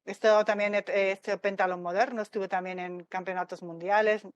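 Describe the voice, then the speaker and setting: A woman's voice: mid-range voice, fairly quick, dry recording, highs cut off. A woman from Spain, a tennis mental coach, chatting animatedly in an interview over a video call from home. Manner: chatty, animated, laughs easily. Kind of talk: casual interview talk. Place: home by the sea.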